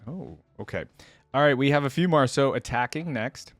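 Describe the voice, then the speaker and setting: A woman with a remarkably deep voice hosts a casual reaction video. She comments in a laid-back way with short murmured responses. The sound is dry, with no room to it. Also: expressive, moderate pace, dry recording, faint music behind.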